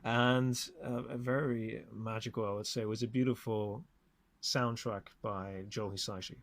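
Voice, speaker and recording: A man's voice: lowish voice, steady tone, normal speed, no room sound. A man hosting a podcast, talking casually as he gives recommendations and introductions. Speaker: podcast host.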